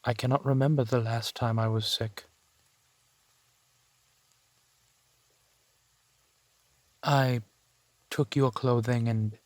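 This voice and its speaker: A man playing a courteous, gently protective vampire gentleman in an intimate roleplay audio drama, with formal, measured phrasing. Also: mid-range voice, no room sound, close to an everyday voice. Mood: gentle, reassuring. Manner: formal, polite, measured phrasing with soft sighs.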